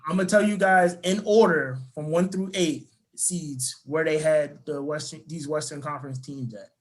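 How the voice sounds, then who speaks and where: A man with a somewhat raised voice hosts a casual sports podcast. He moves the talk along briskly, reading through a list and inviting the others to weigh in. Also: fairly high pitch, steady tone. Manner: talks fast, steers the segment. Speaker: podcast host.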